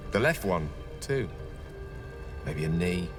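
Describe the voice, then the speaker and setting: Penyihir bersuara rendah, intonasi sangat hidup, diiringi musik. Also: male voice, low-pitched, big pitch swings, takes it slowly, over music, full character voice. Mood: wry, nervous. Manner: eloquent, theatrical, wry. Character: proud, witty male wizard, wounded ex-lover of a goddess. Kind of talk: dramatic video game dialogue.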